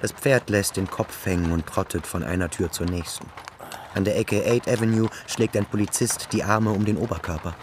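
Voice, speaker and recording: A man's voice: fairly low pitch, moderate pace, background noise, natural voice. A man narrating a literary radio play in scripted prose, acting as its storyteller. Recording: music behind the narration. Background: music.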